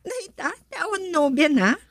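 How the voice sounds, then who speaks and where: A female actor with a Filipino accent and a breathy voice, playing a guarded, dutiful young woman in a romantic radio drama. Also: sits high, animated, unhurried. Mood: torn, reserved.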